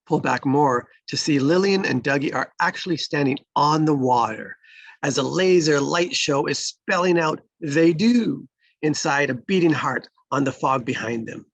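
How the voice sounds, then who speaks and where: Male stage-directions reader for a screenplay table read, creaky and husky, close dry sound. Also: fairly high pitch, lively intonation, normal speed, dry recording, dull audio.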